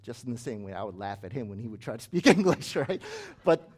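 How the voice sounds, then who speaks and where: A man's voice: medium pitch, sing-song, fairly quick, a little mellow. A man who grew up in the United States, preaching a sermon as pastor in a conversational way with chatty asides.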